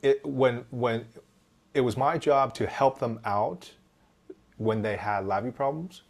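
A man's voice: mid-range voice, measured pace, gravelly. A man in his forties from Taiwan, a podcast guest telling his story animatedly on a video call with a headset, often restarting his sentences. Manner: animated, gestures while talking, frequent restarts. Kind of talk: casual interview storytelling. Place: home room indoors.

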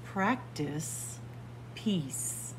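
Librarian lady doing storytime, slow and sing-songy, close webcam mic, faint hum behind. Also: low-pitched. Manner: slow, deliberate word-by-word reading with lively teacherly emphasis. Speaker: children's librarian reading aloud. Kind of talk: picture-book read-aloud for kids. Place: small public library room with bookshelves. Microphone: webcam or phone close up at arm's length.

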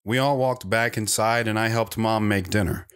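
A man's voice: on the low side, fairly even intonation, normal speed, a bit bright, smooth, natural voice. A man playing a wandering man who fondly recalls his boyhood, telling it as first-person audio drama narration. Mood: matter-of-fact.

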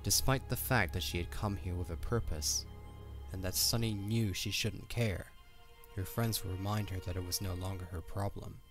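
A man's voice: low-pitched, fairly even intonation, normal speed. A man narrating a scripted fantasy audio drama as its storyteller. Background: music.

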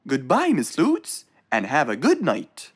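A woman voicing a kind, eager young male fox inventor in an animated children's story, with a helpful, polite manner. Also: rumbling low, measured pace, slightly rough, full character voice. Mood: friendly, helpful.

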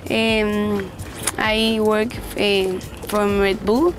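A woman's voice: mid-range voice, level tone, over music. A young woman from Costa Rica, a native Spanish speaker, answering interview questions hesitantly on a boat. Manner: hesitant, halting. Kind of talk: casual chat. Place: outdoors on a boat.

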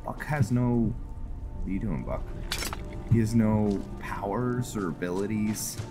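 Slow, deep-voiced man with music behind. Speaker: host of a gaming roleplay series. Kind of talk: casual game commentary. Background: background music.

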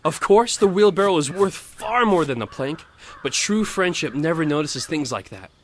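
Man playing a high-voiced pompous miller. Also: expressive, normal speed, slight background noise, close to an everyday voice. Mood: smug, demanding. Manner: pompous, preachy, affronted. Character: pompous, self-righteous, grasping male miller. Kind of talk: audio theatre storybook acting.

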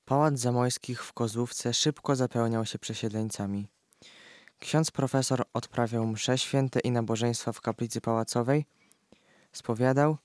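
A man narrates a historical audio play in a medium-pitched voice. The recording is dry and close, with very little room sound. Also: a little mellow, no room sound.

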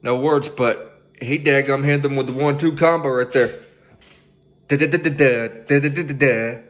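A man's voice: medium pitch, fairly even intonation, moderate pace. A young man hosting a music reaction video from his living room on a headset mic, casual and swearing freely as he hypes up the song. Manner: laid-back, swears freely, hypes up the track. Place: living room at home, indoors.

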